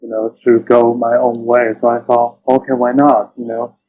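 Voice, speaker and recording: Halting, thoughtful man, dull lo-fi recording. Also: mid-range voice, level tone, a little hiss or hum. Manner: halting, thoughtful, often says "you know". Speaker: interview guest, literary translator. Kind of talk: reflective interview conversation.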